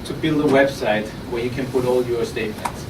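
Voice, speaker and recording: Austrian-accented man pitching, distant in an echoey room. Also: fairly even intonation, brisk. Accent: Austrian German. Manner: conversational with frequent restarts and repeated words. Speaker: startup founder pitching. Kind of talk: live pitch presentation. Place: conference room at an innovation event. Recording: roomy, distant, noisy audience recording.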